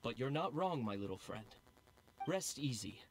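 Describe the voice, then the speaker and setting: A man voicing a polite, formal government official in a game cutscene, measured and courteous. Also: mid-range voice, sing-song, husky.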